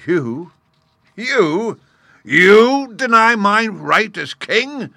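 Casting: proud storybook lion, bombastic and roaring, pitched up, forceful, very dry sound. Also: male voice, sing-song, extremely slow, gravelly, dry recording, exaggerated voice. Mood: furious disbelief. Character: proud, blustering, furious great lion king. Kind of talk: animated children's storybook voice.

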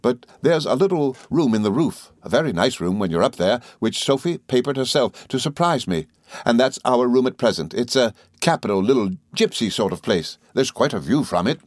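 A man's voice: medium pitch, animated, moderate pace, no room sound, studio quality, voice pushed up, close to an everyday voice. A man voicing an earnest, cheerful, modest young lawyer in an audiobook, hesitant and effusively polite as he confides. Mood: eager, confiding. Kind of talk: audiobook character dialogue.

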